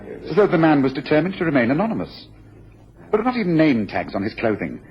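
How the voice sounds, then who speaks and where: A man playing a brisk, observant, commanding gentleman detective in a radio drama, speaking in an analytical, precise way. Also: on the high side, huge pitch swings. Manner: analytical, precise, deliberate, authoritative. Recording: muffled, faintly noisy radio drama recording.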